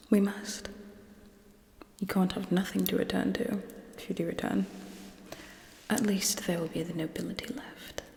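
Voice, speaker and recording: A young woman playing a cold, scheming alien queen in an ASMR roleplay, speaking in an imperious, condescending, hushed way. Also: medium pitch, gravelly. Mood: grave, detached. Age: young adult. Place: small indoor set with draped backdrop. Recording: echoey reverberant close whisper.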